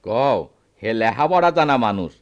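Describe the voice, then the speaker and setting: A man's voice: mid-range voice, lively intonation, normal speed, muffled recording, natural voice. A man acting an anguished, poor village father in a radio drama, lamenting in a rural Bangladeshi accent. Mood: bitter, resigned. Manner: emotional, lamenting rustic speech.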